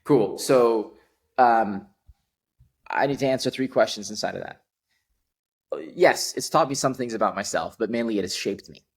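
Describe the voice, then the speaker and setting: A man in his twenties, a guest on a podcast, telling stories in an animated way with frequent ums. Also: medium pitch, normal speed, pauses often, breathy edge. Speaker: guest, startup founder. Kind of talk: casual podcast conversation.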